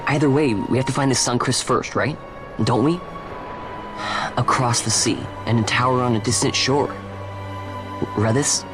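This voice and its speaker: A man voices an earnest young lad in a fantasy game. He sounds casual, boyish and questioning at a middling pitch, with music loud behind him. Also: normal speed, long pauses, natural voice. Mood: eager. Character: earnest, naive, talkative young adventurer lad. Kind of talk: video game cutscene acting. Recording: game score behind dialogue.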